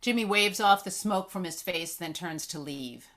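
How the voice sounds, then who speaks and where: A woman's voice: fairly low pitch, moderate pace, fairly bright tone. A woman reading stage directions aloud as scripted narration at a screenplay table read.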